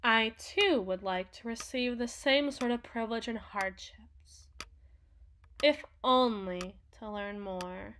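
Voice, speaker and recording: A woman's voice: fairly high pitch, slow, fairly bright tone, slight background noise. A woman voicing a powerful, possessive demon in a theatrical ASMR roleplay, speaking formally and imperiously like a coldly seductive deal-maker. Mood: measured, bargaining. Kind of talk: ASMR roleplay, dramatic monologue.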